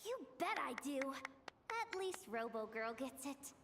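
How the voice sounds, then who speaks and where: A woman's voice: sits high, sing-song, slow pace, noisy background, heavily acted. A woman voicing a pushy, self-pleased young girl in a video game, breezy, slangy and boastful. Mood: smug, upbeat. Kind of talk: animated video game dialogue.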